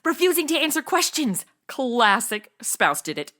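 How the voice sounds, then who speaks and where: Brash young female sidekick: bright, high-pitched, hugely animated, flippant comedy delivery. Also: normal speed, exaggerated voice. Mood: sassy. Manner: sassy, casual modern slang. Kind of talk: comedy audio drama acting.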